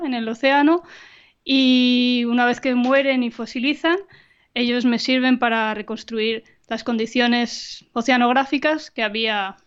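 A woman's voice: a little high, muffled recording. A woman scientist being interviewed on a podcast over a video call, speaking thoughtfully with frequent fillers and restarts. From Argentina. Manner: thoughtful, many fillers and restarts, light laughs. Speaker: guest scientist. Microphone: remote video call.

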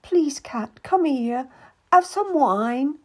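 A woman's voice: expressive, takes it slowly. A woman reading an audiobook, voicing a young noblewoman who pleads through tears, at times sinking to a whisper. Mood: pleading. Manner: high, tearful pleading with hushed whispers.

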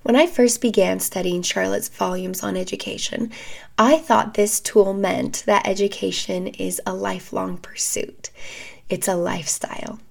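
A woman in her thirties hosts a podcast in a calm, measured way. Her voice is scratchy and gravelly from a recent illness. Her pitch sits in an ordinary middle range. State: hoarse, recovering from a respiratory illness. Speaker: podcast host. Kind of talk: scripted educational podcast talk.

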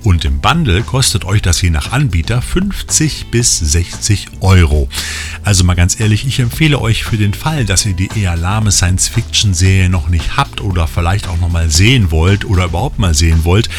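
A man's voice: sits low, lively intonation, moderate pace. A man presenting scripted film and release news as a podcast host, with wry asides addressed to the listeners. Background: music under the voice.

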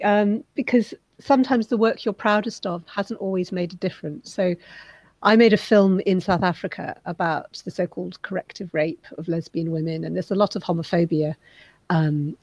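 Low-voiced, thoughtful woman journalist. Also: darkish tone, husky, no room sound, muffled recording. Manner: thoughtful, measured, many "um"s. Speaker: documentary filmmaker and journalist. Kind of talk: interview answer.

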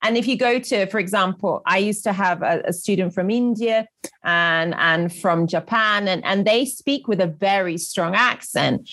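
A woman English teacher talking casually and thoughtfully, slowing down on the points she wants to make. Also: mid-range voice, fairly bright tone, no echo. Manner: thoughtful, many restarts, slows on key points. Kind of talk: casual conversation.

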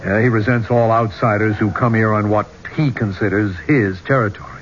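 An actor playing an affable but hot-headed archaeologist with a casual, blunt manner in a 1970s radio drama. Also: male voice, on the low side, steady tone, brisk, lightly acted. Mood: irritated. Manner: casual, plain-spoken, blunt. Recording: muffled, noisy 1970s radio recording.

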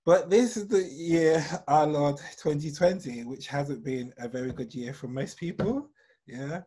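A British man hosting a casual podcast chat, talkative and sometimes dropping to a low mutter for asides. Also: unhurried, warm tone. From United Kingdom. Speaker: podcast host.

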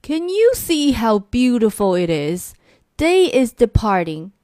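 A woman voicing grumpy male monsters who shout insults through a mountain. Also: medium pitch, big pitch swings, slow pace, no room sound, clean studio sound. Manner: shouting name-calling.

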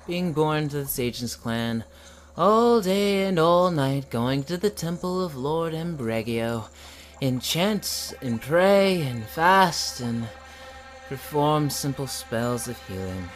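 A man's voice: a little high, animated, slow, soft background music. A man playing a bold, loyal and ambitious young apprentice healer in a fantasy audio drama, speaking with earnest expression. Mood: frustrated yearning.

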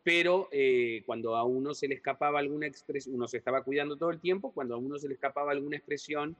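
A man's voice: mid-range voice, quick pace, fairly bright tone. Hesitant man, echoey muffled room. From Uruguay. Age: 40s. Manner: rambling and hesitant, with many repeated words. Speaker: writer and guest. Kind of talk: conversational interview.

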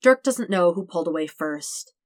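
A woman's voice: fairly low pitch, expressive, moderate pace, no room sound, clean studio sound. A woman reading a fictional story aloud as a scripted narration. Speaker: podfic reader.

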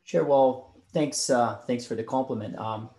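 A young man from Brazil, a native Portuguese speaker, answering interview questions in a halting way with many fillers. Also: mid-range voice, mellow, roomy sound. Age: young adult. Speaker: podcast guest.

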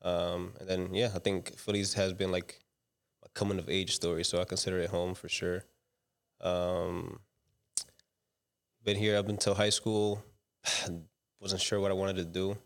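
A young man from the Dominican Republic talks as a guest in a casual interview. His voice sits low and stays fairly flat. He tells his story in a loose, slangy way, full of fillers. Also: unhurried, pauses often. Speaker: guest, commercial director.

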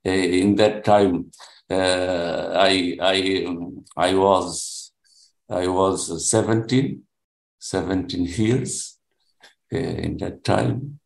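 A man in his seventies from Portugal, speaking English with a Portuguese accent, introducing himself in class in a halting way with many restarts. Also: low-pitched, fairly even intonation, gravelly. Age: 70s. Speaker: language student. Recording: roomy echo.

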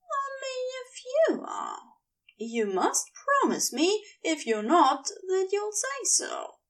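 A sweet, adoring wife in an audio story, acted by a woman, pitched very high and lilting widely. She coaxes earnestly, with a little playful mischief. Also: wildly swooping pitch, takes it slowly, a bit bright, far from the mic, exaggerated voice. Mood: earnest, coaxing.

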